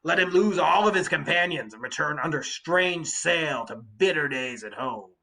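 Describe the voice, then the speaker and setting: A man performs a wounded Cyclops in an epic read aloud. The giant is bitter and vengeful, declaiming slowly with a husky, rasping edge. His words are forceful and drawn out. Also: a little high, animated, exaggerated voice, poor audio. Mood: vengeful prayer. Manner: slow, drawn-out, declaiming delivery. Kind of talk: dramatic storybook reading of epic verse.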